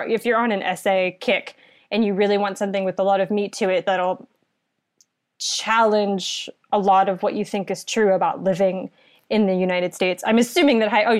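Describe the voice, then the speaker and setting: A young woman from southeastern Virginia recommending a book in a casual chat, earnest and thoughtful, rambling a little. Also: medium pitch.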